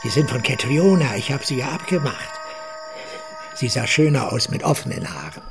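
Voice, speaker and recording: A man's voice: medium pitch, big pitch swings, normal speed, creaky, music in the background. An actor playing a simple, childlike older man, plaintive and naive, with a plain, direct way of speaking in a radio drama. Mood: naive, anxious.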